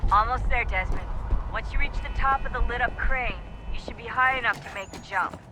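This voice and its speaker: An actress playing an upbeat young female technician guiding a teammate through a mission, speaking in a brisk, practical way, in video game dialogue. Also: fairly high pitch, noisy background, natural voice.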